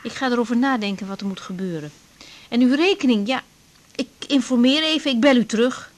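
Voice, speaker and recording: A woman's voice: fairly high pitch, a little fast, close to an everyday voice. An actress playing a harried, emotional young woman doctor in a radio drama, speaking expressively. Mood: flustered, worried. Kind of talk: Dutch radio drama acting.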